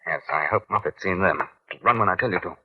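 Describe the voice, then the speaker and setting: An actor playing a brisk, wry British police inspector in old radio crime drama, with clipped commands and dry understatement. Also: male voice, low-pitched, husky. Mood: wry, watchful. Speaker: lead actor and narrator. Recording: muffled old radio recording.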